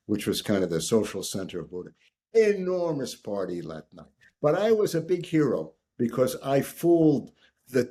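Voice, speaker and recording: Husky animated older American storyteller. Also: male voice, medium pitch. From United States. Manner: animated raconteur, repeats "right?", acts out quoted voices. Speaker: guest. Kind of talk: storytelling in conversation.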